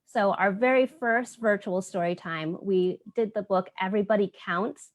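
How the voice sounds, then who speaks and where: Woman doing the intro for a kids online storytime, explains stuff simply, normal middle pitch. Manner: explanatory, addressing young children simply. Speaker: story time host. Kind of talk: spoken introduction for a children's virtual story time.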